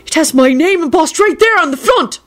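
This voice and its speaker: A woman voicing an indignant, angry man shouting accusations in a storybook reading. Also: very high voice, lively intonation, brisk, voice pushed up.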